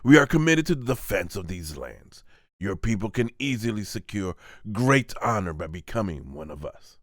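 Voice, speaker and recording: A man's voice: on the low side, big pitch swings, normal speed, no echo, studio quality. A man voicing an oily, scheming diplomat in a fantasy manga dub, formal and insistent. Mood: pompous. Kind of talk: dramatic manga voice-over.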